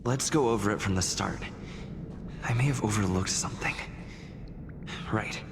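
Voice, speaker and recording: A man's voice: on the low side, moderate pace, vocal fry, background noise. An actor playing a forgetful male investigator in a video game, pensively talking to himself. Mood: puzzled, pensive. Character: forgetful young male investigator puzzling things out. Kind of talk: acted video game dialogue. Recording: music behind the voice.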